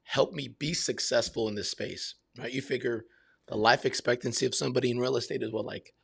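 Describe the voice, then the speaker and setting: A man in his fifties with a creaky, gravelly edge to his mid-pitched voice talks as a podcast guest. He answers casually and reflectively, in informal language. The sound is close and dry. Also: a little fast, no room sound. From Brazil and Miami, United States. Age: 50s. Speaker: podcast guest, military veteran and realtor. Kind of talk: casual conversational interview answers.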